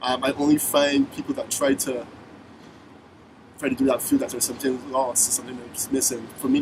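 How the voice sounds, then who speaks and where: A young man with a Barbadian accent, a musician guest in a casual interview, talking in a relaxed, rambling way full of restarts. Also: medium pitch. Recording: distant mic with background noise.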